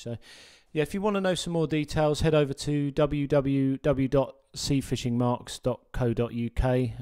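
A man narrating a sea fishing guide as a voice-over, relaxed and full of ums and uhs. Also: medium pitch, smooth.

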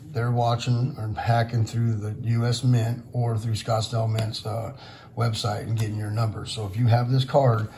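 Hoarse guy rambling to his viewers, kinda flat, noisy audio. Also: lowish voice. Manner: rambling, frustrated, many fillers. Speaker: channel host, silver stacker. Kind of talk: casual vlog talk to viewers. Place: indoors at a table over silver bars. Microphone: camera pointed down at the table, speaker off screen.

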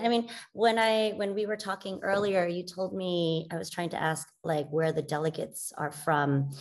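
A woman in her forties talks in an animated, expressive way, laughing easily as she tells her story. Her voice sits a little low. She speaks over a video call from a plain room. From United States. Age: 40s. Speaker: guest speaker. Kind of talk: conversational interview.

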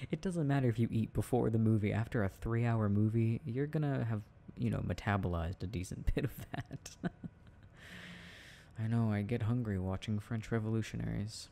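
A young man playing a sweet, shy, devoted boyfriend in an intimate ASMR roleplay, speaking softly and hesitantly, close to the mic. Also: fairly low pitch, creaky, slight background noise. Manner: soft, tender, hesitant, halting.

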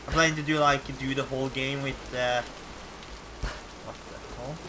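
A young Norwegian man, with Norwegian as his first language, giving casual let's play commentary in English with a Norwegian accent, swearing and reacting loudly to the game. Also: mid-range voice, little pitch movement, moderate pace. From Norway. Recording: game sound behind, rain noise.

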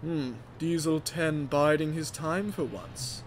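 A man's voice: a little high, slow pace, a little hiss or hum. A man voicing a scheming, patient villain diesel engine in a comic game roleplay. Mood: brooding.

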